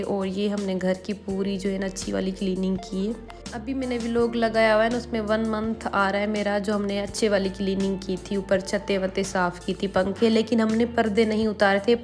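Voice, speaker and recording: Housewife vlogger, 30s, Pakistani accent, cold-roughened voice, talky and unhurried, speaks on a flat pitch. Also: female voice. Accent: Pakistani Urdu. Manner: chatty, rambling, frequent fillers. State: hoarse with a cold. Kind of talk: casual home vlog chat. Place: at home, indoors.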